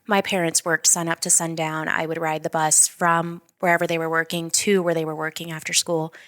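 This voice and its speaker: A woman guest from Mexico, whose first language is Spanish, speaks in a lowish voice, telling her story in a measured, careful way with a touch of dry humor. The sound is close and dry, with almost no room around it. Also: level tone, a bit bright, no room sound. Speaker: podcast guest. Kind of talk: candid conversational storytelling.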